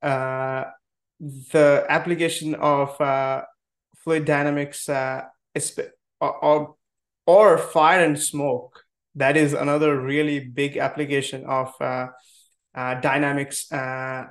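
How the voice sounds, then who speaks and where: A man's voice: medium pitch, level tone, slow. A man hosting an interview podcast, speaking with many hesitant "uh" fillers. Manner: heavy use of "uh" fillers. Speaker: podcast host. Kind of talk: conversational interview. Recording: echoey room.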